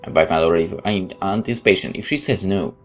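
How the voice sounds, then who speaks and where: A man's voice: low-pitched, a little fast, a bit bright, a little hiss or hum. A man playing through a game, reading its text aloud and adding sarcastic asides. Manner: sarcastic, interjecting quips. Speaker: let's player.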